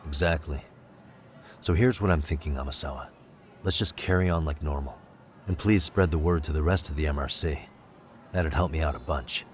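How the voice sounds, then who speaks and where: An actor playing a calm, protective detective in a game drama, talking in a measured, matter-of-fact way. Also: male voice, rumbling low, a little hiss or hum. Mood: reassuring. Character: calm, steady male detective, frank and protective. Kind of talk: dramatic game cutscene dub acting.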